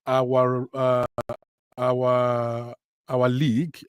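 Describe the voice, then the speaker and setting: A male host with a mid-pitched voice who hesitates and restarts often while welcoming and questioning callers. His voice is recorded dry and close, with no room echo. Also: monotone, drawn-out words, clear, resonant tone. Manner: hesitant, many fillers and restarts. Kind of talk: live call-in talk show.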